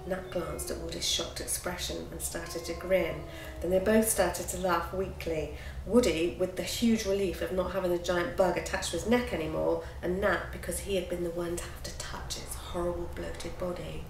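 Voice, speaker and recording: A woman author narrating a chapter from her own children's book in an expressive, lively reading style. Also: lowish voice, normal speed, gravelly. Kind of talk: storybook narration. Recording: roomy with background noise.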